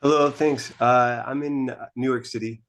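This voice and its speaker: A newly certified coach introducing himself on a video call, measured and hesitant. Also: male voice, medium pitch, fairly even intonation, fairly bright tone, slight background noise.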